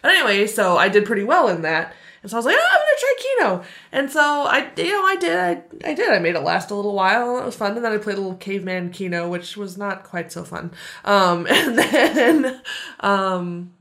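A woman's voice: medium pitch, fast talker. A woman co-hosts a casual chat, giggly and eager to chime in. Her voice swings widely up and down as she tells her stories. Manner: giggly, animated, chiming in. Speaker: co-host.

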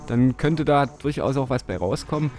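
A man's voice: mid-range voice, expressive, normal speed, darkish tone, soft background music. A man from Dresden, talking casually in an interview at home, hesitant with many fillers and frequent laughs. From Dresden, Germany. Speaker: interview guest, modular synth hobbyist. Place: his living room at home.

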